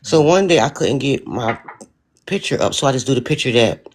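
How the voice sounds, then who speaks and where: A woman with a deep, raspy voice, talking bluntly as a panelist in a casual live-stream discussion. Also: expressive, a little fast. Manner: blunt, talks in long stories.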